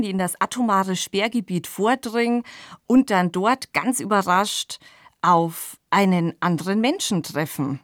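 A woman hosting a radio podcast, presenting in a measured, informative way. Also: mid-range voice, no room sound, studio quality. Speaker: podcast host. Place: dry studio.

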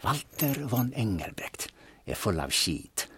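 An actor playing a cynical, blunt, secretive grave robber in an audio drama, telling things in a dry manner. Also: male voice, on the low side, very animated, a bit bright, slight background noise. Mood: conspiratorial.

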